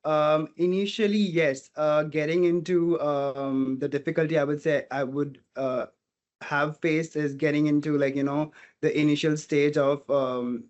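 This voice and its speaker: A man's voice: on the high side, steady tone, a little slow, a little mellow, highs cut off. A man from India with a Portuguese mother tongue, a hospitality student speaking earnestly as an interview guest, thinking aloud as he goes. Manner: earnest, thinking aloud with frequent "you know". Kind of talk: casual interview talk.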